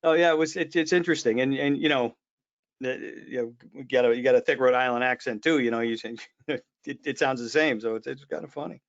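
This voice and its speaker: A man's voice: mid-range voice, brisk. A man hosting a casual live trade chat, informal and fond of repeating his phrases as he reads out viewer comments. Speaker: host of a trade webinar. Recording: dull lo-fi online call audio.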